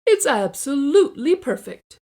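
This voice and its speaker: A woman voicing a proud, earnest father in a children's storybook, landing each grand word deliberately and emphatically. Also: high voice, sing-song, warm tone, pitched above the performer's own voice.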